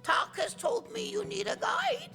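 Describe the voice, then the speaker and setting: Squeaky high lady dinosaur, slow n halting, polite guide type, creature voice for audio drama. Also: sing-song, vocal fry, over music, full character voice. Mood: courteous, welcoming. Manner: halting, searching for words in a foreign tongue. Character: friendly talking female dinosaur, courteous ambassador and guide.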